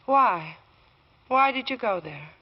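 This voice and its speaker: Cool, proud radio-drama widow. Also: female voice, a little high, expressive, slow. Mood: cool, guarded. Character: cool, proud widow accused of murder, guarded. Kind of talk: 1940s radio drama acting. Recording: dull, muffled old radio recording.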